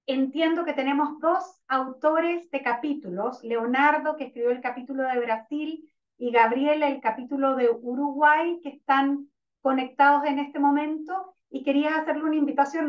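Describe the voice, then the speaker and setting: A woman moderating a virtual panel, hesitant and full of restarts. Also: high-pitched. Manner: hesitant, many fillers and restarts. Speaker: webinar moderator. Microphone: video call. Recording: distant, dull lo-fi call audio.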